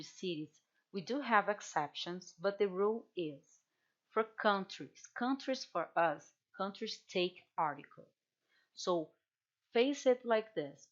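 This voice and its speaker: Slow Brazilian woman teaching Portuguese. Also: medium pitch, lo-fi sound. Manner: patient, repeats example words slowly. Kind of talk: online language workshop.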